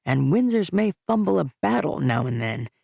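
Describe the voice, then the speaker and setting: A man's voice: on the high side, sing-song, moderate pace, gravelly, mellow, dry recording, clean studio sound, full character voice. A woman voicing a smug, wealthy man talking down to his ex-wife in a condescending, pushy way. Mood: smug, contemptuous. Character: smug wealthy adult man, scornful ex-husband.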